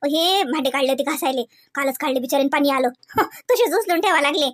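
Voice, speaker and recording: A woman plays a harried village daughter-in-law from a comedy cartoon. She has a high voice and chatters quickly with a rural Varhadi Marathi accent. Her manner is gossipy and put-upon. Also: dry recording, studio quality, natural voice. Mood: chatty gossip. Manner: gossipy, complaining, colloquial.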